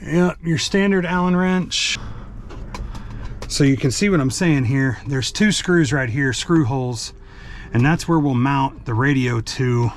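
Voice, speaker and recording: A man's voice: medium pitch, a little slow. A man giving an informal, hands-on how-to, talking through the task as he works. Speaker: vlogger, truck driver. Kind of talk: casual hands-on how-to narration. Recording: background noise while working.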